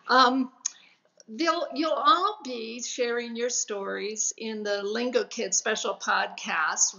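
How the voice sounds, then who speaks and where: A woman's voice: medium pitch, creaky, fairly bright tone. An older woman moderating a panel conversation as host over a video call. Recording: dull, lo-fi video call audio.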